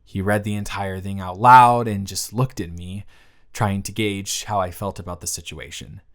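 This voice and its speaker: A man's voice: low voice, steady tone, moderate pace. Man acting an earnest, flustered young romantic lead. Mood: tense, guarded. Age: young adult. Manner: conversational, emotive, swinging from hushed to exasperated. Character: earnest, flustered young man confessing love to his friend's sister. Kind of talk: romantic audio roleplay monologue addressed to the listener.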